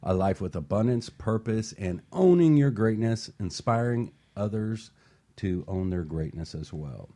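Brief: low, mellow male radio host, upbeat motivational chat. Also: measured pace. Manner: upbeat motivational, relaxed with fillers. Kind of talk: casual radio talk show chat. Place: radio studio.

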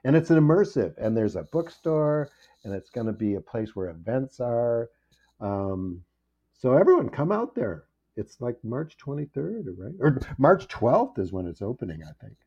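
A man's voice: mid-range voice, sing-song, normal speed, mellow, husky, dull audio. A man chatting casually and playfully as a podcast guest, with a joking manner. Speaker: podcast guest, children's book author. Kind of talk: casual chat.

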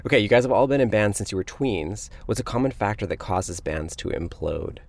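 A man's voice: fairly low pitch, expressive, fairly quick, no room sound. A man hosting an interview show, delivering prepared introductions and questions. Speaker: radio interview show host.

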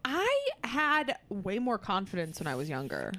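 A woman guest on a casual comedy podcast, soft-spoken and giggly, telling stories about herself. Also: medium pitch, wildly swooping pitch, a little slow.

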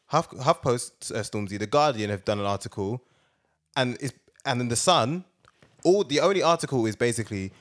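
A man's voice: mid-range voice, lively intonation, normal speed. A man co-hosting a podcast, chatty and slangy, given to exclamations. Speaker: podcast co-host. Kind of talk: casual podcast chat.

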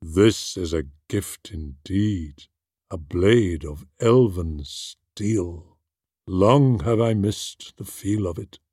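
A man voicing a humble old woodworking servant in an audiobook, speaking gravely. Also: low voice, drawn-out words, darkish tone, no echo, studio quality, heavily acted. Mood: wistful. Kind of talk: audiobook character voice.

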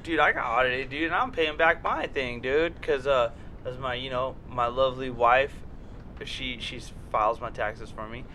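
Bright-voiced rambling profane man. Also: medium pitch, moderate pace, a little hiss or hum. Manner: rambling, profane, repeats filler words. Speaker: podcast host. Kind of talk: casual podcast chat.

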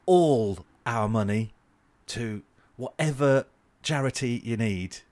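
A man's voice: medium pitch, slow pace, a little mellow. A man co-hosting a podcast in casual comic chat, with a joking, self-mocking manner. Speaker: podcast co-host.

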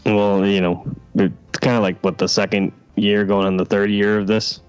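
A man playing the guest in improvised comedy banter, deadpan and matter-of-fact, congested with a cold. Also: lowish voice, normal speed, clean tone, faint music behind.

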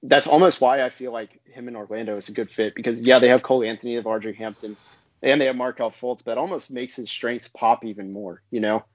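A man's voice: mid-range voice, fairly even intonation, quick pace, dry recording. A man co-hosting a casual basketball podcast, talking in a conversational way full of "I mean" and "like". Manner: conversational, many fillers like "I mean" and "like". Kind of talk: casual sports analysis chat. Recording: dry, slightly dull lo-fi audio.

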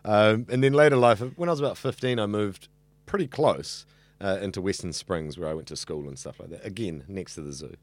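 A man in his thirties with a deep voice and a New Zealand accent, chatting casually and talkatively in an interview. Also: a little fast, no echo. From Auckland, New Zealand. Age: 30s. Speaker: radio student being interviewed.

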